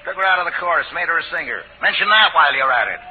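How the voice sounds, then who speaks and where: A crackly, narrow 1940s radio recording carries a man acting a bullying gangster boss. He swings widely in pitch, barking orders in street slang, mocking and impatient. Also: expressive, racing, natural voice.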